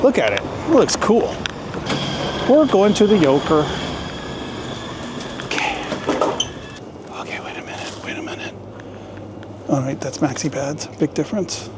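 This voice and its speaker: A man's voice: fairly high pitch, sing-song, a little slow, pauses often. Playful man vlogging outdoors. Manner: jokey, playful, chatty. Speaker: travel vlogger. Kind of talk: casual vlog narration. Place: outdoors in a town and in shops. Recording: outdoor street noise.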